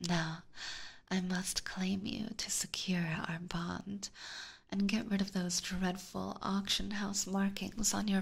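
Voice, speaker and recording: A woman voicing a possessive, aristocratic vampire catgirl in a slow, seductive, teasing whisper for an ASMR roleplay, close on a binaural microphone. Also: medium pitch, heavily acted. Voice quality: breathy whisper. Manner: slow, formal, coaxing.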